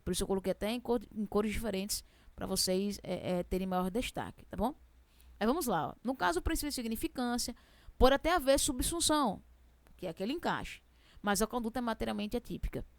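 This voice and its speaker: Fast-talking Brazilian woman law teacher. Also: fairly high pitch, animated, no echo. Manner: chatty, asks herself questions; fast-talking with a strong regional accent. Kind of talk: exam prep lesson.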